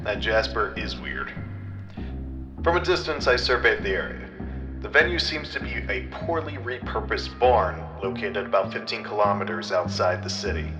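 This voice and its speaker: A low male voice acted as a hard-boiled private eye from a comedy audio drama. He is earnest and self-important, with melodramatic noir phrasing. Music plays clearly under him. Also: moderate pace, lightly acted. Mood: dramatic narration. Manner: melodramatic first-person noir narration.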